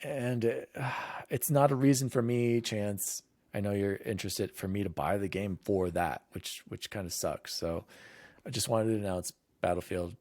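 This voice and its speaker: A man's voice: fairly low pitch, normal speed, darkish tone, no echo. A man hosting a gaming podcast, chatting casually and reading out news lists in an informal, conversational way. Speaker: podcast host.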